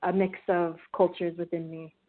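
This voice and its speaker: A woman's voice: lowish voice, flat delivery, moderate pace. A young woman from the American Midwest, a filmmaker and student being interviewed, speaking reflectively with many fillers and restarts. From Midwestern US, Wichita, Kansas. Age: young adult. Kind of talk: interview over a call. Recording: tinny phone-like line.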